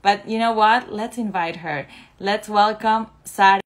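A woman in her forties with an Argentine Spanish accent hosts a video call from her living room. Her voice sits at a middle pitch, and she leans on key words with slow, excited emphasis. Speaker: host. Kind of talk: hosting a video-call interview. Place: living room indoors with lamps. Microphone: video call, phone or laptop camera.

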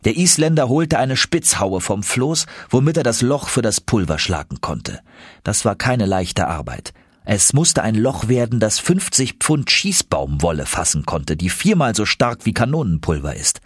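Husky, animated male audiobook narrator. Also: fairly low pitch, normal speed, dry recording, clean studio sound. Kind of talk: dramatic scripted narration.

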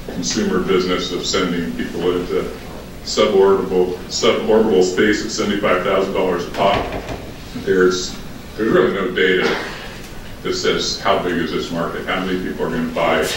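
Deep-voiced older man, echoey chamber. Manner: measured, businesslike, with fillers. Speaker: public commenter. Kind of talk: measured public comment at a county board meeting. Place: large council chamber. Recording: echoey hall from far off, noisy.

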